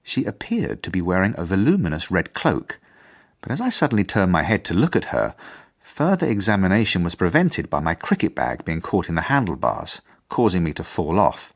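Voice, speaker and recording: A man's voice: sits low, sing-song, a little fast, vocal fry, exaggerated voice. A man acting an earnest, fussy British headmaster in a radio drama, formal and self-important as he narrates his journal. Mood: conciliatory, rueful. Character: earnest, idealistic, fussy male school headmaster. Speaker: actor.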